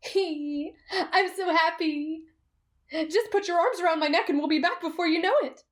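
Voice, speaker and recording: A woman voicing an excitable, lovestruck and insecure teenage swordsman boy in an anime roleplay, with an eager, stammering manner. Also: very high voice, normal speed. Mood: excited. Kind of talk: anime roleplay ASMR acting.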